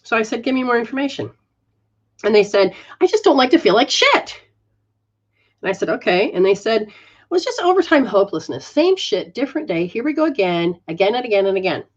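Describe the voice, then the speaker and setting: A woman healer talks a listener through a live reading in a gentle, coaching way, looping back over her words. Her voice sits at an ordinary middle pitch. The audio is dull and muffled, like a lo-fi stream. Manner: soft, coaching, repetitive phrasing. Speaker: metaphysical practitioner giving readings. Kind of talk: live guided reading and healing session.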